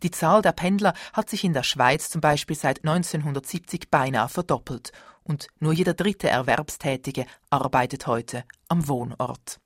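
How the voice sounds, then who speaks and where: A radio feature narrator reading a formal script in a steady way. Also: medium pitch, normal speed, few pauses, no room sound, studio quality.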